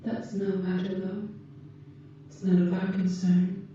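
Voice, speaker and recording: Woman playing a dark, soft-toned, slow alien caretaker. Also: medium pitch, completely flat delivery, lightly acted. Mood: calm, reassuring. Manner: slow, gentle, measured, formal wording. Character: calm, caring female alien from Venus tending a patient. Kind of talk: ASMR roleplay, scripted monologue to the listener. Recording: muffled, echoey, distant, faint noise.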